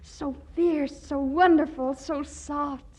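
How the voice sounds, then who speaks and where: A woman's voice: high voice, fairly even intonation, takes it slowly, darkish tone. An actress playing a brash, man-crazy young woman in 1940s radio comedy, melodramatic and gushing. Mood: swooning. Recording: hissy 1940s radio recording.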